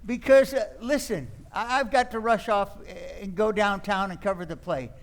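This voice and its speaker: A man plays an aghast young nephew in a radio comedy. His voice is pitched quite high, and he stammers, repeats himself and sounds thoroughly exasperated. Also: normal speed. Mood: flustered. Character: flustered, aghast young nephew, a theater critic. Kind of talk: old-time radio comedy acting.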